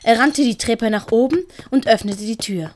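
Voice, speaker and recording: A woman's voice: a little high, normal speed, a little mellow, a little hiss or hum. A woman reading scripted story narration as the narrator of an audio play. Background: music.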